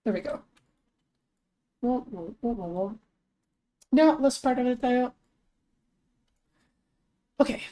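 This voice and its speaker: A young woman streamer chatting casually as she works, thinking aloud, her voice lower than usual today, with bursts of high excitement. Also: slow, long pauses. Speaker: streamer and artist. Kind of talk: casual live-stream chat while working.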